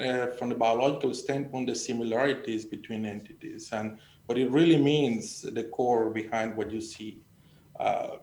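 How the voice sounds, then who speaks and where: A middle-aged man from Mexico with a Mexican accent, speaking earnestly and haltingly as he tells personal stories as a panel guest. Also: darkish tone. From Sonora, Mexico. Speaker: panel guest, agricultural extension educator. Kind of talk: conversational personal storytelling. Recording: echoey room, faint noise and rumble.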